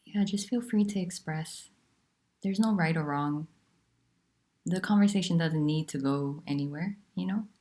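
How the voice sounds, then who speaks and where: A woman teaching about nonduality, talking in a soft, unhurried and candid way with pauses. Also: low-pitched. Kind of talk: reflective spiritual talk.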